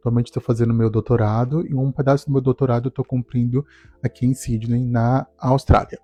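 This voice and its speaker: A man, telling his life story to camera in a calm, reflective way. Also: mid-range voice, no room sound. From Salvador, Brazil. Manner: calm, reflective, measured delivery. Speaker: speech therapist and doctoral student. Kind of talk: personal testimony to camera.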